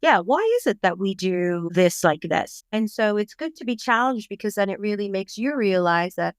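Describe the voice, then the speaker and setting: A middle-aged woman from Bangladesh, a parenting coach, speaking as a podcast guest in a reflective, measured way. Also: mid-range voice, lively intonation, smooth, no echo, studio quality. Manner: reflective, measured, thoughtful explaining. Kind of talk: podcast interview.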